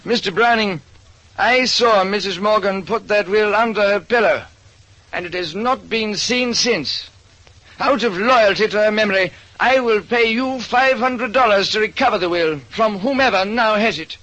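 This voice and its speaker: A man's voice: high-pitched, pushed and bright, dull audio, voice pushed up. A man voicing an elderly, loyal butler with formal, deferential manners in a 1940s radio drama. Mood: formal, grave. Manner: deferential, measured, proper.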